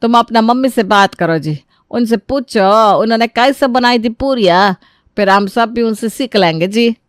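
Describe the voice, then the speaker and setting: A woman's voice: a little high, normal speed, dry recording, clean studio sound, close to an everyday voice. A woman voicing a bossy, dramatic South Indian mother-in-law in a Hindi cartoon story, exclamatory and scolding, with a South Indian accent. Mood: practical. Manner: exclamatory, scolding, ends phrases with a tag.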